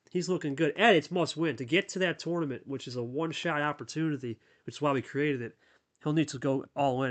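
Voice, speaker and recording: A man doing casual play-by-play commentary on a card game, chatty and hyped, talking with his co-host. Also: fairly bright tone. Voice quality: deep radio voice.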